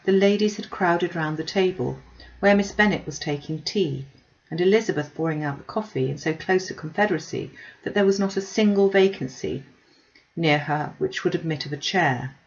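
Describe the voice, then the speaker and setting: A woman reading a classic novel aloud as an audiobook narrator, in an expressive storytelling style. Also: lowish voice, moderate pace, lo-fi sound. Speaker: audiobook reader.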